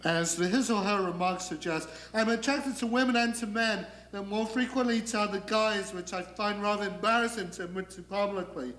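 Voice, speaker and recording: A man's voice: high voice, a little fast, few pauses, fairly bright tone. A man acting a theatrical comic monologue as a neurotic, negative character, wry and confiding. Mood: wry, disdainful. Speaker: actor performing a monologue. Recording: echoey room.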